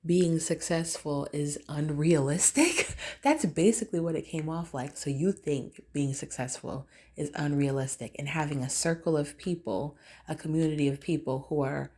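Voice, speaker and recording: A woman vlogger with a raspy voice, talking in a loose, rambling casual monologue full of fillers. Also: low voice, darkish tone.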